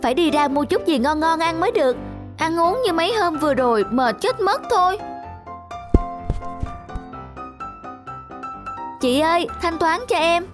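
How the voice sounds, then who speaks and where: A woman playing a polite, expressive young student in an animated moral story. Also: extremely high pitch, fairly quick, over music. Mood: relieved, cheerful. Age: young adult. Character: young woman student, anxious, conscientious, light-hearted.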